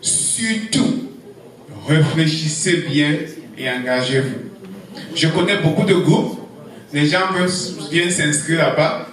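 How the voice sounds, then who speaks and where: A man urging party members on in short, deliberate, emphatic phrases, like a political speech. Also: on the high side, fairly bright tone. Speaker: speaker at a party conference. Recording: very echoey hall, distant mic.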